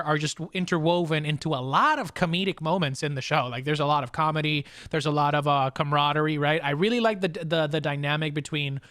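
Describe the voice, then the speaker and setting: A man hosting a casual pop-culture discussion, talking haltingly and often repeating his words. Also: a little high, a bit bright, dry recording, studio quality. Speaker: podcast host.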